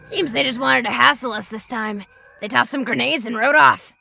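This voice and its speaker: A woman's voice: on the high side, normal speed, creaky, sharp tone, a little hiss or hum, heavily acted. A woman playing a tough, blunt, protective wasteland survivor in an audio drama, with a wry, plain-spoken manner. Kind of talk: post-apocalyptic audio drama acting.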